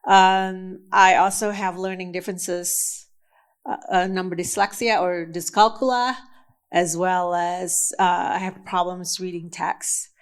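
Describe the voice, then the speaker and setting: A woman from Indonesia giving a conference talk, speaking slowly and deliberately with frequent fillers and restarts. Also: fairly low pitch, flat delivery, smooth. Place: conference session room.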